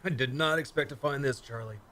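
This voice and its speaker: Forceful, weary space commander, a man acting. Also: medium pitch, a little fast, a little hiss or hum, close to an everyday voice. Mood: grim. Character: weary, injured male space commander, gruff and wary. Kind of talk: sci-fi radio play acting.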